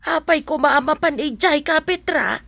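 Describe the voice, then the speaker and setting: A woman's voice: sits high, moderate pace, vocal fry, a bit bright, no room sound. A woman in a radio drama playing a worried, protective village mother with an Ilocano accent. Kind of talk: comedic radio drama acting.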